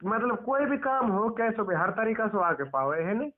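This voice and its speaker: Mewati guy chatting and teasing on a phone call, voice kinda high, thin phone sound. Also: sing-song, normal speed. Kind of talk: casual teasing phone chat. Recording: muffled telephone call recording.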